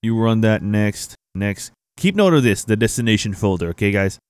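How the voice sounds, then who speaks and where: A young man hosting a casual software tutorial, explaining things informally to viewers on a close microphone. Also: on the low side, animated, normal speed, clean tone, no room sound. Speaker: tutorial host, gaming streamer. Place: indoor home streaming setup. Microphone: close boom-arm microphone.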